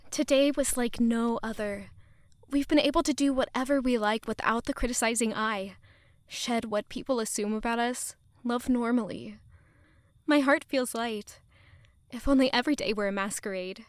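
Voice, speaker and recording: A woman's voice: a little high, moderate pace, no echo, studio quality, lightly acted. A woman playing an affectionate, playful young woman in love in an audio drama, with a light, romantic manner. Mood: happy, enchanted.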